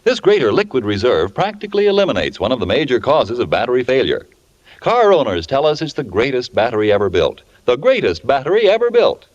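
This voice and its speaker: A man's voice: mid-range voice, wildly swooping pitch, brisk. A man announcing a 1940s radio commercial with an emphatic, polished sales delivery. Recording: dull vintage radio recording.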